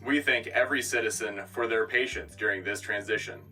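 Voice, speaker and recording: An actor playing a composed, patriotic radio news anchor in a scripted sci-fi broadcast, formal and measured with dry wit. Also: male voice, lowish voice, pushed and bright, far from the mic, faint music behind. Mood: solemn, proud. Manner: formal, dryly witty, measured. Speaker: radio host.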